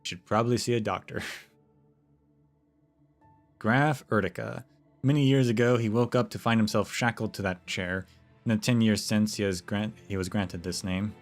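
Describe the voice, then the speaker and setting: A man reads a game's story to his stream, relaxed and conversational, breaking off now and then for a quick aside. His voice sits low and even. Soft music plays faintly behind him. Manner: relaxed, with chatty asides and small restarts. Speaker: streamer narrating a game. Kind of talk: casual story read-aloud to a stream.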